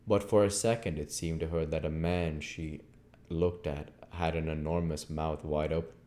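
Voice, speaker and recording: Male amateur audiobook narrator, low register, reading classic fiction aloud. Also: fairly even intonation, normal speed, mellow. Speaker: audiobook reader.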